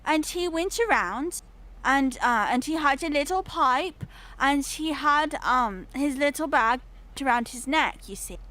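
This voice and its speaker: A woman's voice: sits high, expressive, unhurried, pushed and bright. A woman chatting casually on a livestream, effusive and theatrical, putting on a British accent with old-fashioned formal phrasing. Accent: put-on British.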